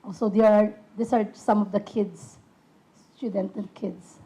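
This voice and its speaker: Slow, husky-voiced woman presenter. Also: mid-range voice, steady tone, warm tone, poor audio. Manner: hesitant, many fillers. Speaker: puppet ministry team member. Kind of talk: casual presentation.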